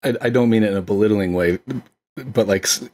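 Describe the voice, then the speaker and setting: A man's voice: on the low side, a little fast, a little mellow. A man co-hosting a casual film discussion, talking in an enthusiastic, appreciative way. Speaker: podcast co-host discussing films.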